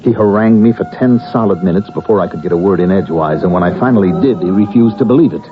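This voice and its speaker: An actor playing a flustered, indignant, mild-mannered professor who protests and stammers in a radio drama. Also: male voice, on the low side, fast talker, music in the background, lightly acted. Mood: wry recounting. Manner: stammering and protesting when pressed. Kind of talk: vintage-style radio drama acting. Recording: dull, noisy old radio broadcast recording.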